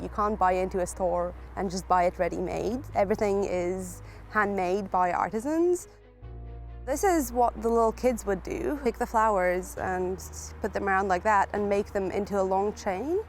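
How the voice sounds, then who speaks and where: A woman's voice: medium pitch, normal speed. A woman speaks in a middle-range voice, explaining patiently how something is made by hand. Her delivery is plain and friendly. Faint music plays under her. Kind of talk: interview.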